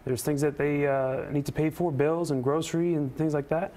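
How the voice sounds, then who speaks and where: A man speaking as a union representative in a news interview, earnest and emphatic, with deliberate phrasing. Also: fairly high pitch, steady tone, warm tone. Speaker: air traffic controller and union representative, interview guest. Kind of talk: live news interview answers.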